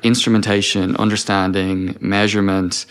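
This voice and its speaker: Guy with a fairly low voice on a podcast, talks things through by posing questions to himself. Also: flat delivery, moderate pace. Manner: explains through self-posed questions and quoted inner dialogue. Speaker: podcast guest, engineering leader. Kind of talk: conversational interview talk.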